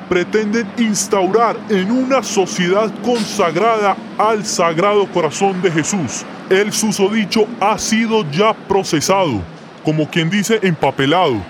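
A man acts out a Colombian police colonel in a radio soap spoof. His voice is high and his manner is pompous and patriotic, full of stiff officialese. Clear traffic noise surrounds him. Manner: bombastic, formal officialese.

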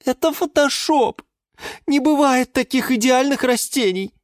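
Children's story geranium, played by a woman: high, shrill, quarrelsome diva with big dreams, indignant, very dry close sound. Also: unhurried, no room sound. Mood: tearful, indignant. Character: excitable, quarrelsome female geranium dreaming of modelling.

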